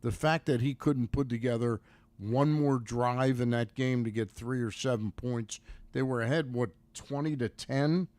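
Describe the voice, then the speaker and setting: Halting mid-pitched man talking sports. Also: darkish tone, no room sound. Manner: halting, repeats phrases. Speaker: sports radio co-host. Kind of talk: casual sports commentary.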